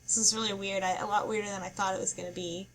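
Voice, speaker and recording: A woman talking casually as a podcast panelist, often pausing to search for her words. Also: mid-range voice, level tone, crowd noise. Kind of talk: casual book club discussion.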